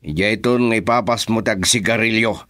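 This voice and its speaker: Guy acting a bossy gruff boss man in ilocano radio serial, lowish voice, very dry sound. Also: fairly quick, a bit bright, no echo, lightly acted. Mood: brisk, bossy. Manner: brusque, domineering, scolding. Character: gruff, bossy male political operator barking at his driver.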